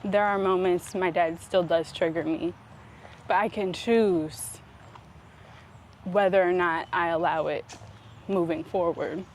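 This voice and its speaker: A woman speaking reflectively and conversationally as a vlog host, with deliberate, halting phrasing and emphatic pauses. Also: on the low side, fairly even intonation. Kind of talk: reflective conversational talk while walking. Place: outdoors, walking up a paved hill. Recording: noisy outdoor recording with traffic.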